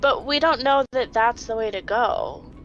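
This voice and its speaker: A woman chats as a tabletop player in an unhurried, lively-pitched voice. The recording is dull with faint noise. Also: over loud music. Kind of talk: casual chat.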